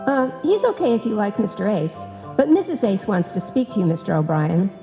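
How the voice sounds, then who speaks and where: A woman's voice: mid-range voice, big pitch swings, a little fast, creaky. Woman acting a doubtful secretary, lilting, in a hall. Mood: polite, obliging. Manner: hesitant, practical questioning. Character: sensible, doubtful female office secretary and reluctant partner. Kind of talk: old-time radio comedy acting, performed live. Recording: live hall recording, long reverb, music.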